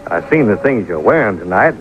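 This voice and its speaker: A man's voice: lowish voice, very animated, brisk. An actor playing a naive, polite, bashful young country boy in an old radio drama, with folksy ungrammatical talk. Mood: eager, shy. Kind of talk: old-time radio detective drama acting. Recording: muffled vintage radio recording, music behind.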